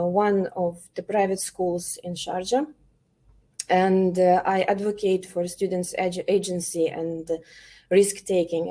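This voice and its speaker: A woman from Ukraine, an elementary teacher, presenting an online workshop over a video call, speaking with many pauses, fillers and restarts. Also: fairly low pitch, mellow.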